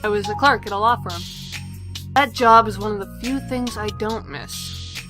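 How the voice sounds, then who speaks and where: An actress playing a matter-of-fact former law clerk in a comic dub. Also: female voice, mid-range voice, moderate pace, natural voice. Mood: dry. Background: loud background music.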